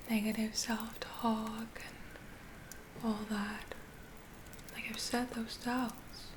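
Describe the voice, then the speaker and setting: A woman doing a whispered ASMR roleplay as a gentle witch healer, soothing and reassuring in an unhurried manner. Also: on the high side, background noise. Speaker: ASMR performer.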